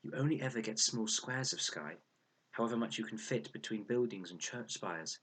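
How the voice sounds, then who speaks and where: A wistful, kind young girl from a children's storybook, as a male reader puts her on. The voice is lifted well up, hushed and breathy, with a husky grain. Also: on the low side, lively intonation, moderate pace, pitched above the performer's own voice, rough recording.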